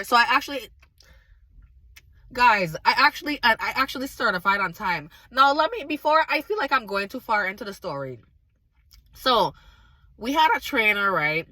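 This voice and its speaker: A woman with a slightly raspy, hoarse voice from recent illness, casually and earnestly telling viewers a faith testimony. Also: medium pitch, pushed and bright, no echo. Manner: earnest, emphatic, talks straight to viewers. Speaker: vlogger sharing a faith testimony.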